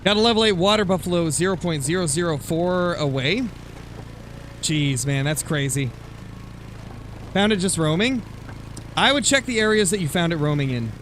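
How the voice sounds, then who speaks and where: A man's voice: a little high, expressive, moderate pace, pauses often, a bit bright, slight background noise. A man streaming a game, chatting casually and thinking aloud as he answers viewers. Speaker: live streamer, gamer. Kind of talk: casual livestream chat over gameplay, answering viewer comments.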